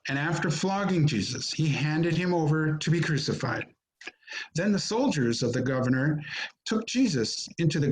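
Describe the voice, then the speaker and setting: A man narrating a scripture drama as an amateur reader, solemn and measured, in short phrases, recorded over a video call. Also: fairly high pitch, poor audio.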